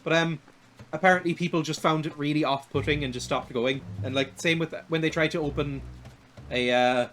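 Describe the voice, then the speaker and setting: A young man in his twenties with a light, naturally high voice, rambling and laughing at himself while he streams a video game. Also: steady tone, fairly quick, a bit bright, a little hiss or hum. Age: 20s. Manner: rambling and self-deprecating, laughs often. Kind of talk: casual chat while playing a video game.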